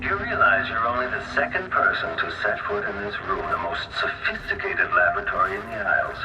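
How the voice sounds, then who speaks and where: An actor playing a vain, theatrical inventor villain in a video game, speaking in a pompous, grandiose drawl. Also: male voice, on the low side, sing-song, fairly quick, far from the mic, very noisy. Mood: theatrical menace.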